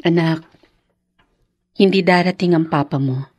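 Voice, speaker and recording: A woman playing a gentle, dutiful young mother in a radio drama, tender and respectful with a hesitant edge. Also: lowish voice, unhurried, no room sound. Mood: tender, evasive. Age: young adult.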